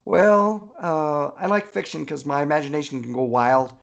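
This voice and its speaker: A man with a gravelly, husky voice chatting casually as a guest author, folksy and good-humored in his storytelling. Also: fairly high pitch, steady tone, moderate pace, muffled recording.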